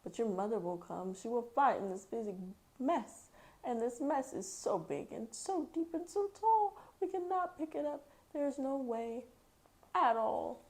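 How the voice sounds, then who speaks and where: A woman plays a fretful little storybook fish, bossy and alarmed. The voice sits high and pushes out urgent warnings. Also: animated, measured pace, darkish tone, a little hiss or hum. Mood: worried.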